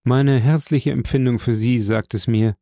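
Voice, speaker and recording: A man voicing a composed nobleman in an audiobook, soft, measured and grave. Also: mid-range voice, fairly even intonation, no room sound, studio quality, lightly acted. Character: composed older nobleman, calm and soft-spoken.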